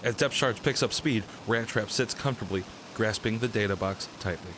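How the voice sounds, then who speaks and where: A man's voice: on the low side, normal speed, a bit bright, a little hiss or hum, low-quality recording. A man narrating a radio drama from a script.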